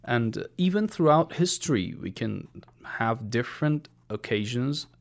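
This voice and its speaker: A man's voice: medium pitch, very animated, measured pace, creaky. A man on a discussion panel who explains his point by posing questions and answering them himself. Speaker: guest panelist.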